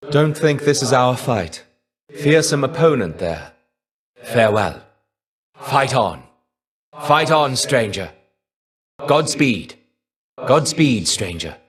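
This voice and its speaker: An actor playing the shade of a fallen Greek warrior in a video game, wry, reserved and melancholy, with a measured, understated delivery. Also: male voice, mid-range voice. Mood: resolute. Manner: measured, understated, wistful, trailing off at phrase ends. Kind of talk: video game character voice lines.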